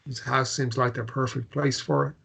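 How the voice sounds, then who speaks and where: A man's voice: medium pitch, flat delivery, moderate pace, vocal fry, bright, forceful tone, slightly rough, heavily acted. A man playing an awkward, apologetic, melancholy American traveller in a drama table read, speaking hesitantly with stammers. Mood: wistful.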